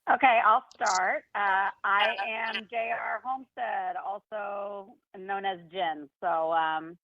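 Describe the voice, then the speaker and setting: A woman's voice: medium pitch, steady tone, slow, dry recording. A woman with a slight Texas accent chatting casually as a radio guest. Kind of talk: casual chat. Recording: thin phone-like call line.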